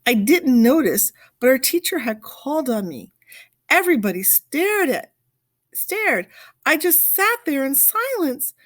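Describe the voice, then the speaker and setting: Female storybook reader: unhurried delivery, very lively intonation, dramatic pauses, stretched key words for young listeners. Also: sits high. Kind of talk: expressive read-aloud of a picture book.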